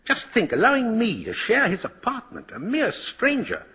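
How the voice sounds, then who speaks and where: A man's voice: high voice, wildly swooping pitch, moderate pace, slightly rough, heavily acted. An actor playing a kindly, whimsical old man who claims to be Santa Claus, in 1940s radio style. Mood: gentle, playful. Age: older. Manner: chuckling, gentle, whimsical. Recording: muffled vintage 1940s radio recording.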